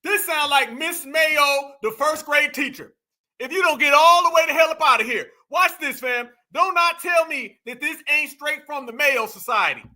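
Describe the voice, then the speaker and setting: A man hosting a reaction commentary show, talking in a brash, slangy, mocking way and sometimes breaking into shouts. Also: very high-pitched, normal speed.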